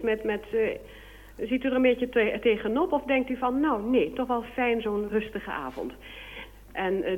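Female radio host, average pitch, courteous and formal, feeling her way through live questions and handovers. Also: narrow, tinny audio. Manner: polite, formal address, halting phrasing. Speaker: radio presenter. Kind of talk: live broadcast interviewing and handover.

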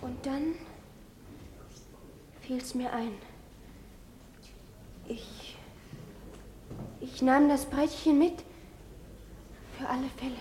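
A neglected young girl gives frightened testimony in a 1950s radio play. Her voice is very high and slow, and she halts and repeats herself over a faintly noisy recording. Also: fairly even intonation, warm tone. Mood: frightened, hushed. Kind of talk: 1950s German radio drama acting.

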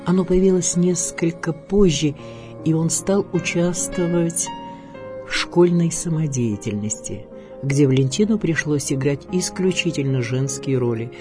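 A man's voice: fairly high pitch, unhurried, music in the background. A man reading a scripted biographical narration as the presenter of a radio programme.

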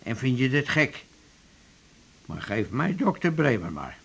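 A man's voice: medium pitch, very animated, measured pace, a bit bright, breathy edge, full character voice. An actor playing a chatty, wry male patient with complaints in a radio drama. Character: chatty, ailing male patient in a waiting room.

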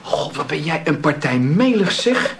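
A man's voice: fairly high pitch, sing-song, measured pace, vocal fry, a bit bright, slight background noise, full character voice. Exasperated everyday man. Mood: mildly exasperated. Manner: casual, defensive. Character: bickering, mildly exasperated ordinary man. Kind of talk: naturalistic Dutch radio drama acting.

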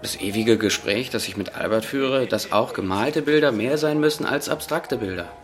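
A man's voice: medium pitch, moderate pace, lightly acted. A man acts out a painter debating art in a radio drama. He is intellectual and argumentative, in a medium register. Music plays faintly behind him. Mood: discursive. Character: male painter endlessly debating abstract art. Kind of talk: scripted radio play monologue.

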